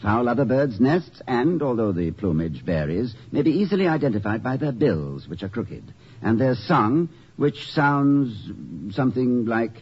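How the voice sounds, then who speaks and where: A man's voice: mid-range voice, very animated, measured pace, slightly rough, heavily acted. An old, muffled radio recording of a middle-aged college president. His delivery swoops around expressively, learned and wry, with hesitant hems and haws and a blustery streak. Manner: wry, articulate, hesitant hemming, then blustery. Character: witty, indignant, erudite male college president. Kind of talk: 1950s radio comedy acting. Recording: muffled, faintly noisy 1950s radio recording.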